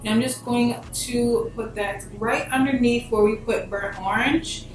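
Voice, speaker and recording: A woman's voice: fairly high pitch, fairly even intonation, unhurried. A young American woman giving a casual step-by-step tutorial in an informal, slangy, emphatic way. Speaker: beauty vlogger giving a makeup tutorial. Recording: very echoey room, distant mic, music behind. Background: background music.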